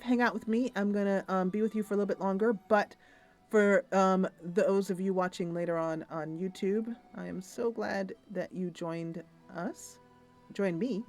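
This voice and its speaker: A woman streaming a roleplay game, narrating the story and chatting casually with viewers in an animated storytelling manner. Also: mid-range voice.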